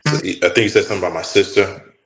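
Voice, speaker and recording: Low-voiced former football player, echoey room. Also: male voice, very fast, slight background noise. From Texas, US. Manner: relaxed, rambling with restarts. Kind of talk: casual interview talk.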